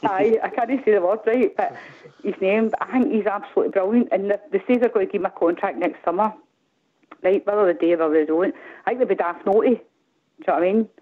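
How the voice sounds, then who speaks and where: A woman's voice: mid-range voice, fast. Chatty Glaswegian woman on a phone line. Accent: Glaswegian Scottish. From Glasgow, Scotland. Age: middle-aged. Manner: rambling, animated, repeats "do you know what I mean". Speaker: caller. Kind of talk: casual phone-in chat.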